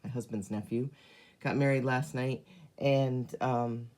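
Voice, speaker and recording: A hoarse middle-aged woman chatting casually through a live card-making demonstration, filling pauses with ums and greeting viewers as they join. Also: extremely low pitch, steady tone, darkish tone.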